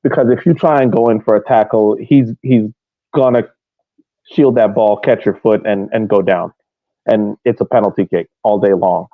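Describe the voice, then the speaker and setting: A man's voice: on the low side, fairly even intonation, normal speed, dry recording, narrow, tinny audio. A man on a podcast panel giving a casual breakdown of a soccer match, over a thin connection.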